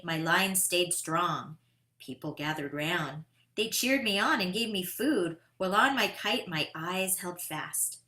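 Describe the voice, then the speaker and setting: Woman reading as an eager boy storyteller, bright-voiced. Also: lowish voice, full character voice. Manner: dramatic, deliberate storytelling. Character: eager, determined boy kite flyer telling his own tale. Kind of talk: expressive picture-book read-aloud. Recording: roomy, echoing sound.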